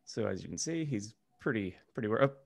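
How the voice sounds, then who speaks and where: Casual podcast guy, lowish voice, rambly informal chatting. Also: lively intonation, fast talker, vocal fry. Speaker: podcast co-host. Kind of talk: casual chat.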